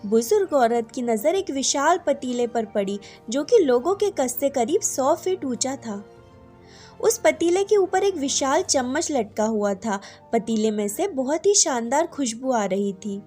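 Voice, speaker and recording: High-pitched woman telling a story. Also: animated, a little slow, a little mellow, faint music behind. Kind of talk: scripted story narration.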